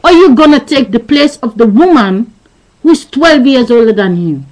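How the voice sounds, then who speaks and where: A woman's voice: fairly high pitch, expressive, moderate pace, a little mellow, poor audio. A woman in her thirties giving a rambling, repetitive philosophical monologue into a webcam, insisting that her listener understand. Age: 30s. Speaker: vlogger. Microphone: webcam mic.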